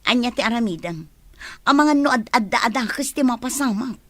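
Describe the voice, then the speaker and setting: A defensive, aggrieved village woman in a radio drama. She speaks in a fairly high, lively voice with an Ilocano accent, recorded close and dry. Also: normal speed, dry recording. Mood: irritated, worried.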